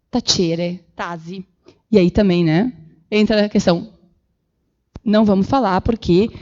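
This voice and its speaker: A woman giving an animated public lecture with slides in a council chamber, acting out the voices she quotes. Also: on the high side, dull audio. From Brazil. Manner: animated, acts out quoted voices, many fillers. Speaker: guest lecturer.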